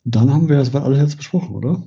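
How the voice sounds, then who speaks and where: A man's voice: medium pitch, racing, creaky, warm tone, slightly rough. A man who is a guest on a podcast, making short, dry remarks in casual chat. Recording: dull lo-fi sound.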